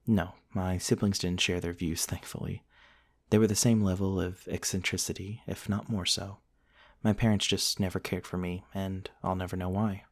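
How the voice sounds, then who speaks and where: A man playing a gentle, eccentric vampire prince from the nineteenth century, softly telling his story in an intimate, genteel ASMR roleplay monologue. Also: deep voice, level tone. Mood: rueful, bitter. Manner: soft-spoken, wistful, genteel storytelling with pauses.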